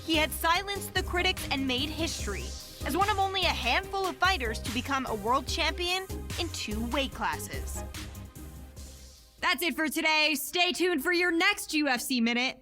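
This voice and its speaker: A woman's voice: high voice, expressive, normal speed, music in the background. A woman presenting a short scripted sports news segment with polished, upbeat delivery.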